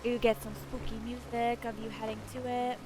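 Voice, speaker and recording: A woman's voice: on the high side, level tone, normal speed. A woman hosting a casual game walkthrough, chatting informally and addressing viewers directly. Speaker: gameplay video host. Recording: poor home mic, faint noise.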